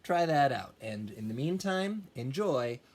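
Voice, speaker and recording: A man's voice: medium pitch, big pitch swings, measured pace, darkish tone. A man who teaches music, reading a children's storybook aloud expressively with casual, encouraging asides.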